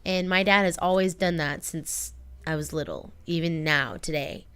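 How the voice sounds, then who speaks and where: A woman's voice: low-pitched, moderate pace, a bit bright, clear, resonant tone. An American woman co-hosting a radio show, chatting in an informal, playful way. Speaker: radio co-host. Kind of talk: casual chat.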